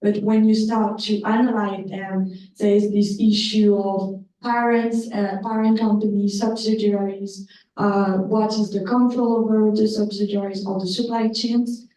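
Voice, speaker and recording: A woman's voice: mid-range voice, level tone, measured pace, warm tone. Hesitant young woman in an echoey hall. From Congo. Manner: hesitant, polite, with fillers. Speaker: student asking questions. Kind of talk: audience question at a panel. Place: law school lecture room. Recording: echoey room, far from the mic.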